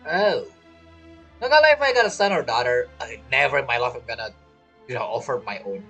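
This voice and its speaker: A man's voice: medium pitch, sing-song, fast talker, creaky, fairly bright tone, husky, soft background music. A young man giving casual, informal live commentary as a gaming streamer, reacting wryly.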